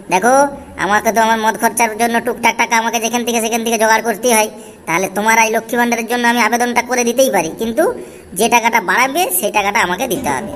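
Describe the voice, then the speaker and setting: A man voicing a scheming, boozy village husband in an amateur comedy cartoon, confiding, with artificially raised pitch. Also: a bit bright. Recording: reverberant track with faint music. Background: faint music.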